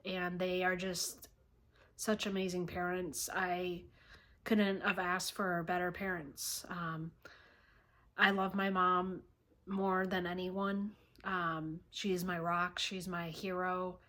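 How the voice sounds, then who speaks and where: A woman vlogger telling a personal story to camera in a halting way, often pausing and clearing her throat. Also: lowish voice, a bit bright. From born in Russia, raised in the United States from age three.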